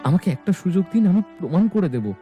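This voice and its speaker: A man's voice: sits high, sing-song, fast talker, mellow, soft background music. A man playing an earnest, proud, poor young woodcutter in love in a cartoon, often pleading.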